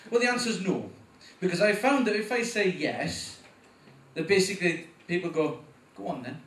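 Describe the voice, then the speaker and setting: Welsh guy doing stand-up on a club stage, bit high voice, roomy echo, mic kinda far off. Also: normal speed. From Wales. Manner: animated, voice jumps up in pitch at punchlines. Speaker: stand-up comedian. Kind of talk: stand-up comedy set. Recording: echoey room, voice from afar.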